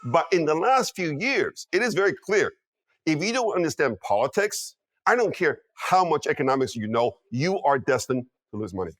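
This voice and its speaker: A man's voice: fairly high pitch, big pitch swings, normal speed, fairly bright tone, dry recording, studio quality. A man in his fifties giving emphatic interview commentary, often checking in with "okay?" and "you know what?". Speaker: guest strategist.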